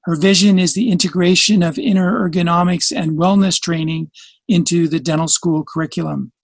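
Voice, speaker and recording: A man's voice: a little high, steady tone, brisk, creaky, slightly rough, no echo. A man hosting a podcast from a studio, reading a polished introduction and then chatting with enthusiasm. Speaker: podcast host. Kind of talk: scripted intro then interview chat. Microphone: studio mic, dry sound.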